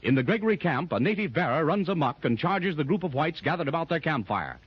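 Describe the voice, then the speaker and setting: Husky-voiced 1930s radio announcer man. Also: on the high side, big pitch swings, fast, dry recording. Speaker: narrator. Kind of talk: 1930s radio serial narration. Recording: muffled 1930s radio transcription.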